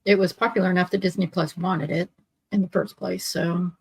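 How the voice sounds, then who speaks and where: A woman's voice: fairly low pitch, steady tone, fairly quick, gravelly, husky, no echo. A woman co-hosting a podcast, chipping in casually with wry, brief remarks. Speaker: podcast co-host.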